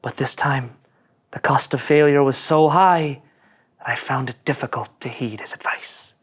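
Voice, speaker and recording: A man's voice: medium pitch, slightly rough, close to an everyday voice. Man playing a brooding officer narrating his log. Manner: slow, portentous. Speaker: reader at a table read.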